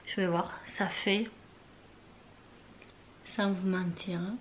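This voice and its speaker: A young woman talks casually in her bedroom, rambling through loose, trailing sentences full of fillers. She often drifts into a soft near-whisper. Faint room noise sits underneath. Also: mid-range voice, little pitch movement, slow, poor audio. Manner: chatty and rambling, many fillers and trailing phrases. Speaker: beauty vlogger. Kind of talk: casual chat to camera.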